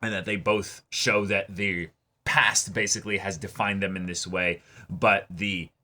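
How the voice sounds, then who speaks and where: A man's voice: low voice, flat delivery, measured pace, pushed and bright. A man co-hosting an anime reaction show, talking casually and sometimes reading viewer comments aloud.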